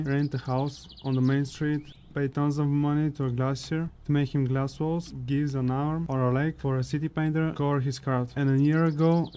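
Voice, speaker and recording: A man's voice: medium pitch, mellow, clear, resonant tone, natural voice. A man playing a brooding, sardonic chimera wanderer in a fantasy audio drama, grumbling his way through monologues.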